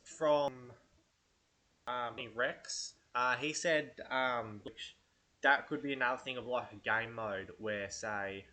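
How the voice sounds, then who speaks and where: A man's voice: mid-range voice, takes it slowly, pushed and bright. A man with a head cold, talking casually and hesitantly through ideas for a game video, full of fillers and restarts. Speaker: gaming channel host. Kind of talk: casual unscripted commentary.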